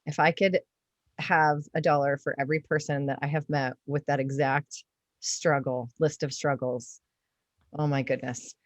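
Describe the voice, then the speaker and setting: A woman podcast host with a slightly low voice, speaking casually and with effusive emphasis. She sounds enthusiastic as she interviews, in a dry recording with very little room sound. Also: level tone, moderate pace, dry recording.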